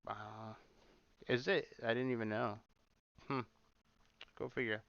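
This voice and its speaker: A man's voice: mid-range voice, fairly even intonation, measured pace, vocal fry, fairly bright tone, no echo, muffled recording. A man hosting a casual livestream, rambling and repeating himself, swearing often as he reacts. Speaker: livestream host. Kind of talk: casual livestream chat reacting to clips and viewer comments.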